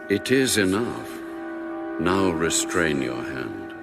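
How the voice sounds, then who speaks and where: A commanding God in a scripture drama. The voice is extremely deep with gravel in it, grave and swooping with authority. Loud music swells underneath. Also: male voice, very animated, takes it slowly, heavily acted. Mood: solemn command. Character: God, solemn commanding male deity halting destruction.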